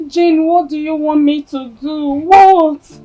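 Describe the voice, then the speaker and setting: A woman's voice: high-pitched, steady tone, slow pace, mellow, lo-fi sound, soft background music. A woman playing a defensive, worn-out elder sister. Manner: defensive and pleading. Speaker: actor in a comedy skit. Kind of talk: comic skit acting.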